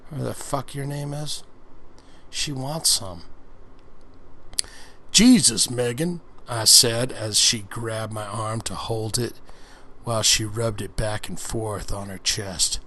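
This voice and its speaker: A man reading a story aloud in a relaxed ASMR style, breaking off for crude, joking comments of his own. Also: lowish voice, measured pace, a little hiss or hum. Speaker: reader of an ASMR book-reading show.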